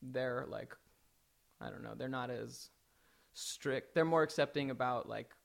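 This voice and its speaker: Gravelly young man, hesitant talker. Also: medium pitch, unhurried. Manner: hesitant, many restarts and fillers, laughs easily. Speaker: singer in a band. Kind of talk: casual interview answers.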